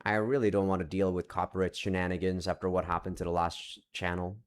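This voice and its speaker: A young man with a fairly low voice gives a loose, unscripted update to his viewers. He rambles and breaks off mid-sentence, peppering his talk with casual swearing as he searches his screens. It is recorded in his room at home. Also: little pitch movement, quick pace, slight background noise. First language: not Japanese. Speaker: visual novel let's play creator. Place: home room with several monitors.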